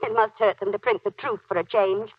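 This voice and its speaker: A woman's voice: mid-range voice, fairly even intonation, fairly quick, husky, lightly acted. An actress playing a fond, nagging, optimistic wife and mother in 1940s radio acting style. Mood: chiding. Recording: dull vintage radio recording.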